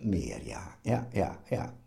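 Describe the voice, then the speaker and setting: A man, reminiscing in casual chat, halting and repeating words. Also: sits low, very animated, gravelly, mellow. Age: 80s. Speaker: elderly father being interviewed.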